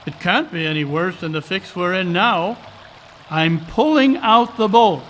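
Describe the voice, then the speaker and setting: A man playing a commanding, resolute detective who gives urgent, deliberate orders in a live recreated radio drama. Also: high voice, fairly bright tone. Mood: tense, resolute. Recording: noisy live stage recording, water sounds.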